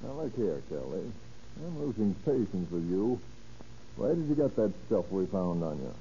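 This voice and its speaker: Hard-nosed male lawman, low dark voice, terse, commanding, interrogating, old radio crime drama. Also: big pitch swings, normal speed. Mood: stern. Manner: curt, interrogating, authoritative. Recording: noisy, muffled old radio recording.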